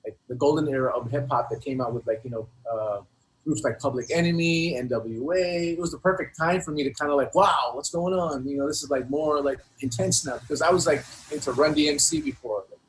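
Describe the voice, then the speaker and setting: A middle-aged man reminiscing casually as an interview guest, in a halting, slangy way that often doubles back on words. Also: fairly high pitch, low-quality recording. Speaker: guest, rapper.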